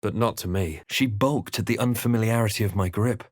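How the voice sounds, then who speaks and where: An actor playing a grave, watchful male adventurer in a video game, narrating in a measured, dramatic way. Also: lowish voice, darkish tone, dry recording, clean studio sound, lightly acted.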